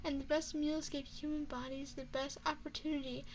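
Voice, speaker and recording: High-voiced, elegant vampire hostess played by a woman. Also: little pitch movement. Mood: gracious, proud. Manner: formal, courteous, teasing, with deliberate phrasing. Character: elegant, flirtatious female vampire chef serving a guest. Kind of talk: ASMR roleplay, scripted. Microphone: close binaural microphone.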